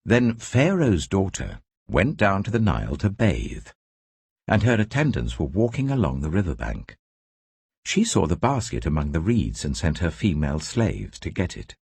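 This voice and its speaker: A man reading scripture as a dramatic scripted narration, telling the story in a measured, expressive way. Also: low voice, vocal fry, husky, no echo. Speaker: Bible narrator.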